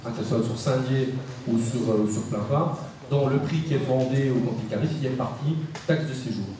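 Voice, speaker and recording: A man presenting a report to a council as vice-president, formally but haltingly, with frequent pauses and repeated words. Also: medium pitch, crowd noise. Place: large indoor council meeting room. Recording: echoey council hall, distant mic, faint noise.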